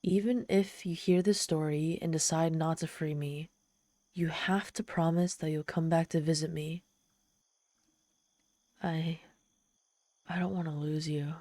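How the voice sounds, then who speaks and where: A woman's voice: deep voice, fairly even intonation, unhurried, pauses often, dark tone, no room sound, clean studio sound. A woman voicing a proud, lonely, trapped female demon in an ASMR roleplay, sardonic and exasperated with a pleading, vulnerable streak. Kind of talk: ASMR roleplay monologue, dramatic storytelling.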